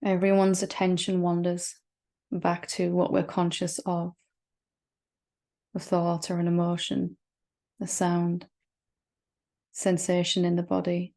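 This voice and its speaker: A woman leading a guided meditation as a spiritual teacher, speaking gently and reassuringly with long, unhurried pauses. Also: fairly low pitch, completely flat delivery.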